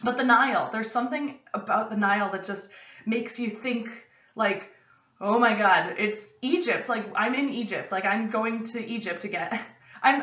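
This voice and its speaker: A young American woman hosting her podcast, chatting excitedly to camera from her home study and rambling into asides. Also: on the high side. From United States. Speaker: podcast host. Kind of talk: casual chat to camera. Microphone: camera at desk distance. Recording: roomy, slightly distant, dull lo-fi.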